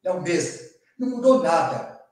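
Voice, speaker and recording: A man's voice: sits high, very animated, normal speed, darkish tone, distant mic. Sao guy talking as a guest, earnest, says né a lot, very echoey. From São Paulo state, Brazil. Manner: earnest, rhetorical, frequent "né" tags. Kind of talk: interview talk. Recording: very echoey room, voice from off-mic.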